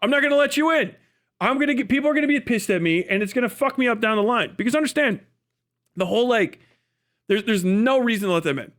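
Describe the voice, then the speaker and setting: An American man with a notably high voice delivers an off-the-cuff livestream rant. He is blunt and sarcastic, swears freely, and hammers his points with emphatic phrasing and mock dialogue. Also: animated, fast talker, a bit bright. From United States. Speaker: livestream commentator.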